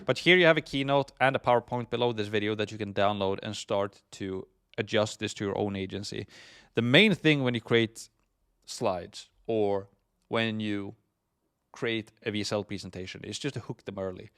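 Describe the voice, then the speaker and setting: A man's voice: lowish voice, moderate pace, a bit bright, smooth. A man from Sweden with a Swedish accent, teaching an online marketing course and often dropping "essentially" and "right?". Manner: frequent fillers, "essentially" and "right?". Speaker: course instructor. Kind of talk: recorded marketing lesson.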